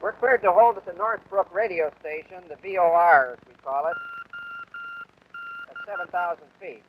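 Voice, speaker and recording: A man's voice: high-pitched, normal speed, slight background noise. A man, an airline pilot, explaining procedure on dull old film sound. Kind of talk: technical explanation.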